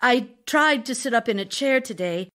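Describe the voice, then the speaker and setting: A passionate, lovesick actress wife. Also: female voice, on the high side, animated, moderate pace, fairly bright tone. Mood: weary, longing. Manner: theatrical, emotional, confiding. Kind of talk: audio drama reading of love letters.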